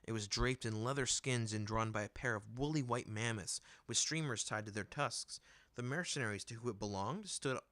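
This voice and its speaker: A man giving an expressive scripted narration of fantasy fiction, as an audiobook reader. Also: mid-range voice, moderate pace, no room sound.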